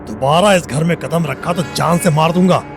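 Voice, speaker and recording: Gravelly, hot-tempered bully, acted by a man. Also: a little high, moderate pace. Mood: furious, threatening. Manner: coarse, rustic, swearing speech. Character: hot-tempered, bullying small-town man, lovesick and menacing. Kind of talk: Hindi audio drama acting. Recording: music bed under the voice.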